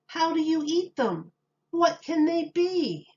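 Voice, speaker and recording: A woman's voice: very high-pitched, big pitch swings, slow pace, darkish tone, dull audio, voice pushed up, exaggerated voice. A woman voicing puzzled, eager storybook townspeople in an exclaiming, questioning way.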